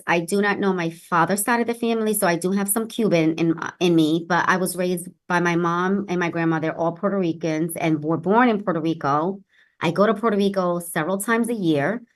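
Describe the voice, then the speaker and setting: Lady official being interviewed, kinda low voice, earnest and passionate. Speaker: city health director, interview guest.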